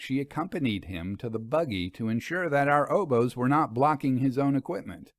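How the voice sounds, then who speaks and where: Measured male storyteller, dry close recording. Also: mid-range voice, dry recording. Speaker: storyteller, audiobook narrator. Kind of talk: scripted first-person story narration.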